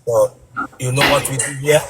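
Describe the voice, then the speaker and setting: A man hosting a comic street interview, teasing passers-by with quick-fire questions. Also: medium pitch, flat delivery, very noisy. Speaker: street interviewer and comedian host.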